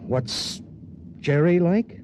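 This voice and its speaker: An actor playing a troubled, duty-burdened spaceship pilot in an old radio drama, clipped and grave. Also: male voice, a little high, lively intonation, drawn-out words, background noise. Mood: guarded, terse. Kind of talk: mid-century American radio drama acting and narration. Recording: muffled old radio recording.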